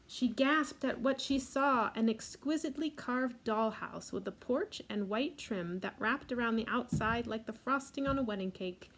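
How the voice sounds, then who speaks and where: A woman with a fairly high voice reads a children's picture book aloud as a storytime reader. She slips in gentle asides about the pictures. There is faint noise behind her. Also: moderate pace, hardly any pauses, low-quality recording.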